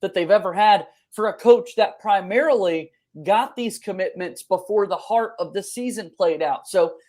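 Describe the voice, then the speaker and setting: A man's voice: sits high, normal speed, darkish tone. An enthusiastic man hosting a sports podcast and interviewing his guest in a conversational way. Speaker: podcast host.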